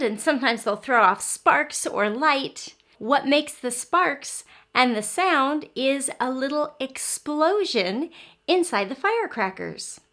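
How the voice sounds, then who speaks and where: Female kindergarten phonics teacher and story reader, higher-pitched with lively intonation, simple explanations and lots of questions for little kids. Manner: simple explanations with frequent questions to young children. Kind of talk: children's phonics lesson and read-aloud.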